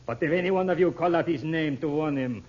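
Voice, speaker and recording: An actor playing a menacing male assassin who talks in slow, halting broken English, in an old radio drama. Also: a little high, slight background noise, natural voice. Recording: dull old radio recording.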